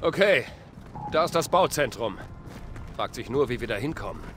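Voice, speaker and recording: An actor playing a determined young soldier hero in a video game. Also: male voice, medium pitch, lively intonation, normal speed, slight background noise, close to an everyday voice. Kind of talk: German video game dub acting.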